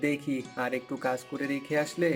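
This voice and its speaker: A man's voice: medium pitch, steady tone, normal speed, soft background music, close to an everyday voice. A man playing a hard-up, grumbling but affectionate village farmer and family man in a cartoon folk tale. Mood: affectionate, everyday.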